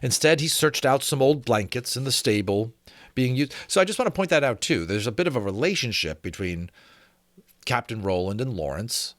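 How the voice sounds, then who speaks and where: A man's voice: mid-range voice, animated, normal speed, a bit bright, no room sound. A man narrating a story expressively as a reader, with casual commentary.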